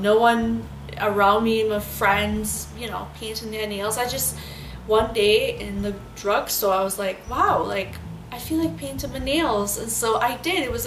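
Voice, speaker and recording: A woman's voice: on the high side, fairly bright tone, echoey room, a little hiss or hum, low-quality recording. A woman in her thirties with a Trinidadian accent, telling a rambling personal story in a casual vlog, full of "like" and "you know.". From Trinidad and Tobago. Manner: chatty, rambling, many fillers. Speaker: beauty vlogger.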